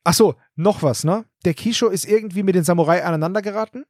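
A man's voice: sits high, quick pace, darkish tone, dry recording, studio quality. A man co-hosting a casual podcast chat, retelling a book's plot in loose running summaries. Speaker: podcast co-host summarizing the book.

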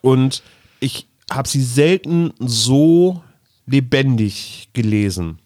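A man with a medium-pitched voice talks casually as a podcast co-host. The sound is close and dry, and his delivery is that of an informal chat between fans. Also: expressive, takes it slowly, a little mellow, no echo.

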